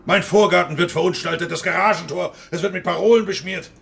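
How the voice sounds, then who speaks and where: An imperious middle-aged businessman in a radio crime play. His voice sits slightly high and has a gravelly creak. He speaks pompously, demanding and indignant. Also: steady tone, fast talker, fairly bright tone, exaggerated voice. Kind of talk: German radio crime drama acting.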